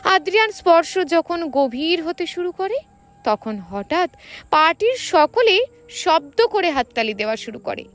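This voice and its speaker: A woman's voice: very high voice, very animated, measured pace, soft background music. A woman narrating a scripted romantic story in a dramatic storytelling style.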